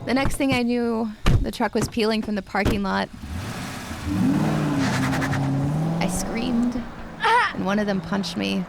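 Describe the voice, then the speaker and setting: A woman's voice: mid-range voice, expressive, normal speed, vocal fry, heavy background noise. A woman acting a wounded, defensive woman in her twenties in a dramatized audiobook, tough and guarded. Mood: haunted. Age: 20s. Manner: guarded, bitter, profane. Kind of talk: dramatized audiobook, first-person recounting.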